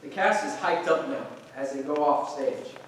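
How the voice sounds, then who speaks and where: A man's voice: medium pitch, lively intonation, moderate pace. A man reading stage directions aloud as scripted narration for a staged play reading. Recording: distant, very echoey room, faint noise.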